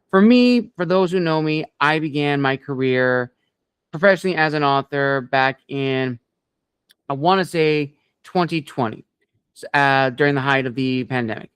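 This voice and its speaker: Smooth-voiced man hosting an interview, earnest and measured. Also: on the high side, fairly bright tone, dry recording. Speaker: podcast host, interviewer and author. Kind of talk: interview hosting with long discursive questions.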